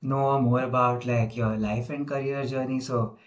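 A man's voice: mid-range voice, fairly even intonation, a little slow. A man hosting an informal interview over a video call, talking casually with frequent fillers. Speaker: interviewer, host.

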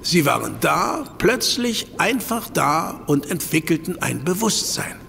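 An actor playing a learned professor who patiently explains a scientific crisis in a German audio drama. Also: male voice, on the high side, very animated, measured pace, gravelly, pushed and bright, long reverb tail, soft background music, full character voice. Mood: lecturing. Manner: patient, lecturing. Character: learned older male professor, calm explainer.

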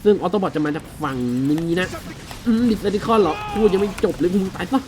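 A man's voice: high voice, moderate pace, noisy background. A man doing casual video game commentary, chatting to viewers with frequent exclamations and crude slang. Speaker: gaming video host. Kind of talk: casual game commentary with loosely dubbed dialogue.

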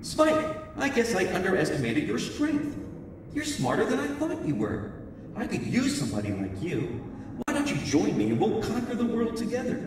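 An actor playing a scheming monkey villain in a video game, with a smug, taunting, theatrical delivery. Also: male voice, high voice, wildly swooping pitch, moderate pace, noisy background. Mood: smug, coaxing. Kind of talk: cartoonish video game voice acting.

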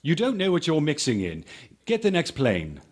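An actor playing a cool, authoritative military police major with a clipped, dry manner in a radio drama. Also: male voice, on the high side, huge pitch swings, normal speed. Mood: stern, dry.

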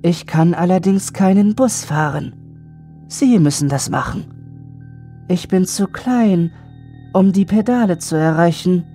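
Eerie boy voiced by a woman, calm beyond his years, dark mellow tone, slow delivery, horror audio drama, music underneath. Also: on the low side. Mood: detached, matter-of-fact. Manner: measured, matter-of-fact, unnervingly adult. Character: calm, self-assured, eerie supernatural young boy.

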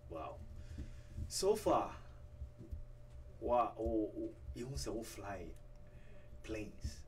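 A man hosting a radio interview, asking questions in halting restarts and mixing in Twi. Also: lowish voice, lively intonation, slight background noise. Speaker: radio host. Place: small radio studio. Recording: somewhat distant mic.

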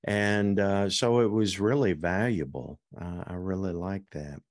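A man hosting a podcast interview, asking questions in a deliberate way into a studio mic. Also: sits low, steady tone. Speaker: podcast host. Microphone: studio mic with headset.

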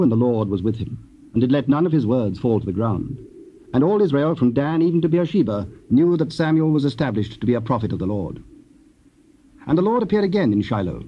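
A man's voice: medium pitch, sing-song, brisk. A man narrating scripture in a solemn, scripted style. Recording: dull, faintly noisy old cassette.

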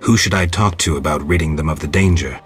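An actor playing a stoic monster hunter in a fantasy game, with a dry, terse, deadpan delivery. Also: male voice, extremely low pitch, fast, faint music behind.

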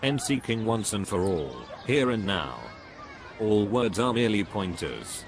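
A man's voice: on the low side, expressive, unhurried, noisy background. A man playing a pompous, dogmatic spiritual guru in a scripted cartoon dialogue, preachy and dismissive.

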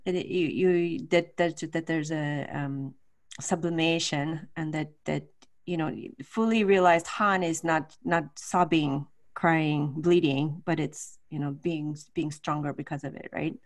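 A woman's voice: deep voice, steady tone, a little slow. A middle-aged woman answering questions informally at an online talk, hesitant and searching for words as she thinks aloud. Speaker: guest lecturer answering questions. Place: home room with bookshelves, on a video call. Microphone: large desk microphone.

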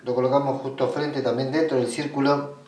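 A man with an Argentine Spanish accent giving an informal step-by-step demonstration in a halting way, often rephrasing himself. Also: mid-range voice, little pitch movement, a little mellow, a little hiss or hum. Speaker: ritual practitioner giving a tutorial. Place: small indoor room at a table. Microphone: camera mic away from the speaker. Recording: roomy sound, distant microphone.

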